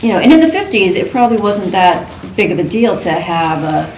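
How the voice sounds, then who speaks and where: A woman's voice: mid-range voice, big pitch swings, a little fast, darkish tone. Woman asking stuff about a script, chatty, echoey room, faint hiss. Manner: questioning, chatty with fillers. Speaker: writers' group member. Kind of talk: casual critique discussion. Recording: roomy table recording, faint noise.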